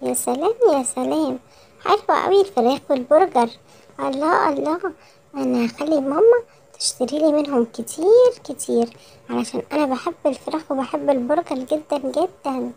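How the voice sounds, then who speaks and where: A woman's voice: sits high, lively intonation, a little fast, creaky, darkish tone. A woman voicing a greedy, whiny, chubby young lion cub boy with an Egyptian accent for a children's cartoon story. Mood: gleeful, greedy. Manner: childlike, emphatic repetition.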